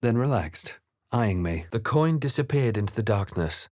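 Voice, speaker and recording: A man playing a wary, quietly wry fantasy adventurer in a video game, speaking in a measured, deliberate, dramatic way. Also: on the low side, lively intonation, no room sound, clean studio sound, lightly acted. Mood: grave, intent. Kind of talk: video game voice lines, dramatic narration and muttered asides.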